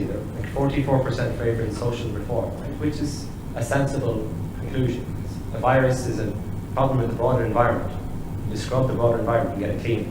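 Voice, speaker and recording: Hoarse young man lecturing in echoey hall. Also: mid-range voice. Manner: conversational, asks the audience questions. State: hoarse with a cough. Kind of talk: public lecture on behavioural policy. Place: large wood-panelled college hall, speaking at a lectern. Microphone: far room recording. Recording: very echoey hall with background noise.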